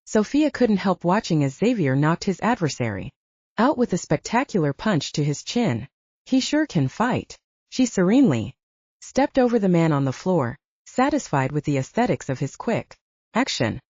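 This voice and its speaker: A woman's voice: fairly low pitch, big pitch swings, normal speed, darkish tone, dry recording. A woman narrating fiction as a scripted, dramatic audiobook reading. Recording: slightly dull, dry close recording.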